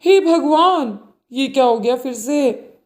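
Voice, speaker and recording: A woman's voice: high-pitched, expressive, slow. A woman voicing a fretful, scolding older mother-in-law in a moral story. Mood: alarmed, fretful. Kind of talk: dramatized moral story dialogue.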